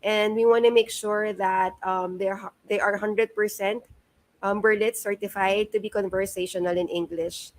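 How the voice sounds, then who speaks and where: A woman in her thirties with a Filipino accent, giving a career presentation to students, halting often on "um" and mixing in Tagalog. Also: medium pitch, fairly even intonation. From Philippines. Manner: halting, frequent "um" fillers, mixes in Tagalog. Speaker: guest speaker at a career talk. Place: not present in the room, speaking remotely.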